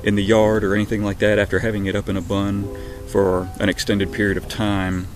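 A young man with a Southern accent giving a casual, relaxed update to camera from his backyard, with lots of ums and uhs. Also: fairly low pitch, a little fast, over music. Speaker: vlogger. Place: backyard outdoors. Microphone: webcam at arm's length.

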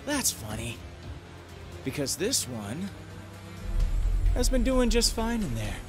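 An actor playing a cocky, earnest young space-hero leader in a video game, with emphatic delivery. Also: male voice, high voice, huge pitch swings, takes it slowly, over music, heavily acted. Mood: defensive. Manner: emphatic, speechifying. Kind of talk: video game cutscene acting.

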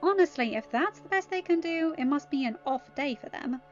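A woman's voice: high-pitched, expressive, a little fast, highs cut off, faint music behind, pitched above the performer's own voice. A woman voicing a dry, scoffing, unbothered teenage girl in a story reading. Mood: scornful.

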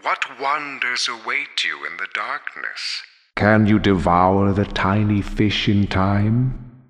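An actor playing an ominous, mockingly dramatic aquarium exhibit announcer in a video game, with theatrical mystery. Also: male voice, on the low side, slow, a bit bright. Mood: ominous, wry. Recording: long cavernous hall reverb.

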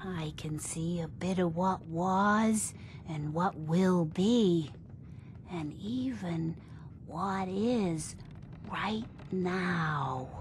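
An older woman playing a frail, folksy old seer in a video game, speaking slowly and haltingly. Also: lowish voice, slight background noise. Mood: ominous, halting. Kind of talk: game voice acting.

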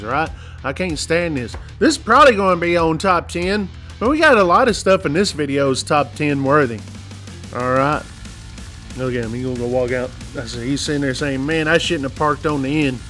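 A man's voice: sits high, a bit bright. A middle-aged man hosting casual commentary over clips, joking and rambling in a folksy way. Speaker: host.

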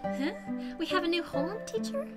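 Woman doing a super high anime schoolgirl, clingy n sharp-tongued, loud music behind. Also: big pitch swings, a little fast. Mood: sweet, clingy. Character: possessive, sharp-tongued teenage schoolgirl, sweet but scolding.

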